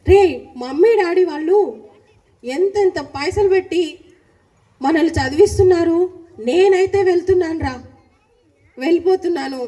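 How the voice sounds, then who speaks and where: A woman's voice: extremely high pitch, normal speed, pauses often, mellow. A woman voicing a bossy big sister puppet who coaxes and scolds in a children's puppet show. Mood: nagging. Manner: coaxing, scolding, insistent.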